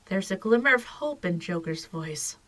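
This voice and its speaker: A woman giving dramatic scripted narration for an audio drama, in a measured and solemn manner. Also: mid-range voice, lively intonation, bright, forceful tone.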